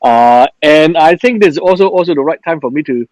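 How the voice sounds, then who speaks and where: A man speaking as a panelist in an online chat, halting, with many restarts and fillers, and a joking tone. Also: a little high, animated, a little mellow, no echo, rough recording. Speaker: panelist, company managing director. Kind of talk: casual panel talk.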